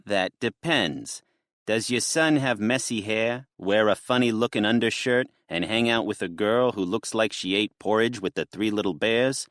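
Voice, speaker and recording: A man voicing a menacing male mobster boss with an old-movie gangster manner, slow and mocking. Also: lowish voice, very animated, pushed and bright, no room sound, studio quality, heavily acted. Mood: taunting.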